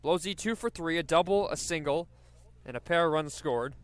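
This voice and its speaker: Clear, higher-voiced man calling baseball. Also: moderate pace, dry recording. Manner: descriptive, stat-laden delivery. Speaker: radio play-by-play announcer. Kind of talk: live baseball play-by-play commentary.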